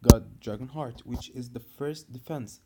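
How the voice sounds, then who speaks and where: A slow-talking Egyptian man explains a hero build for a mobile game, stumbling over words and restarting often. His voice sits at an everyday middle pitch, and he gets loud and emphatic on key points. A clear hiss of background noise and clicks runs under the home recording. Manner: hesitant, many fillers and restarts, loud bursts when stressing points. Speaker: gamer making a fan video. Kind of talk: casual game commentary.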